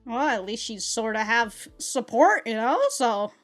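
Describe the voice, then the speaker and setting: Lively young woman reacting with laughs. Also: a little high, measured pace, soft background music. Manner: chatty, laughing often, swears in outbursts. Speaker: anime reaction channel host. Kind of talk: casual reaction commentary. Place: small room at home, indoors.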